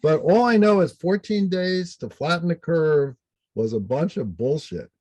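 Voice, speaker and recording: A man's voice: on the high side, lively intonation, unhurried, darkish tone, dry recording. An older man chatting casually as an interview guest, emphatic and opinionated in the way he talks. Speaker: podcast guest, astrologer and author.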